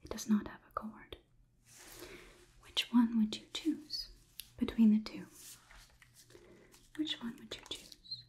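A young woman performing an ASMR roleplay as a calm robot overlord, whispering in a measured, inquisitive, slightly condescending manner. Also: a little high. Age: young adult. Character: calm, clinical robot overlord examining a human. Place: small indoor room.

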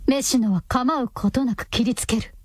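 A woman's voice: a little high, normal speed, no room sound. A woman narrator reading a radio drama in a scripted, dramatic storytelling style.

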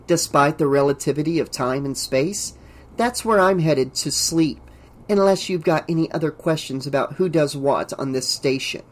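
A man's voice: on the high side, normal speed, natural voice. Man voicing a sarcastic, unyielding crew woman. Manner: sarcastic, dismissive.

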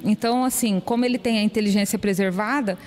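A woman gives an interview, working her way through her points with pauses, fillers and restarts. Her voice carries a long reverb from a large hall, and there is a faint hiss behind her. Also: mid-range voice, darkish tone. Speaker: association president. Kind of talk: interview answers.

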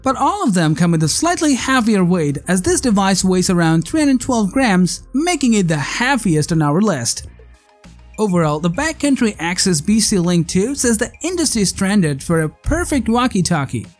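A narrator reading a scripted tech product countdown in a measured, promotional read-aloud manner. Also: medium pitch, very animated. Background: background music.

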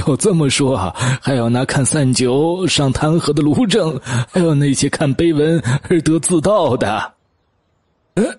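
Audiobook role for a male actor: genial old man, slow, husky, chuckly. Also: fairly high pitch, darkish tone, dry recording. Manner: hesitant, chuckling, unhurried. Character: elderly men, master and servant, genial and chuckling. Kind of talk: acted audiobook dialogue.

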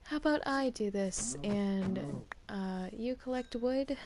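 A woman's voice: on the high side, slow, background noise. A woman voicing a bossy, menacing female villain in an improvised game roleplay, curt and threatening.

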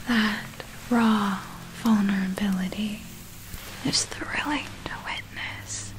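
Wicked female vampire , played by a woman, ASMR monologue. Breathy whisper, extremely unhurried, deliberate, relishing delivery. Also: mid-range voice, bright, forceful tone, poor audio. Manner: slow, deliberate, savoring storytelling. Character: wicked female vampire, savoring past hunts and treasures.

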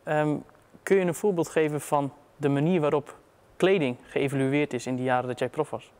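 A man's voice: a little high, fairly even intonation, normal speed, a little mellow, smooth. A young man hosting a studio show, asking questions in a casual way. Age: young adult. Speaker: studio host. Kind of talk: casual interviewing. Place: indoor studio. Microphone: lapel mic.

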